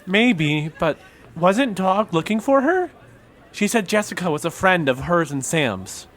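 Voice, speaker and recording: A man playing a thoughtful young folklore buff in an audio drama, explaining things in a measured, conversational way. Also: high-pitched, animated, a bit bright. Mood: defensive, unsure.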